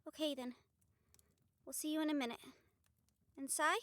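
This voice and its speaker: A woman playing a fiery, loyal young fighter in an audio roleplay, informal and excitable in manner. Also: high-pitched, moderate pace, dry recording. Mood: protective, friendly. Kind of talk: dramatic audio roleplay acting.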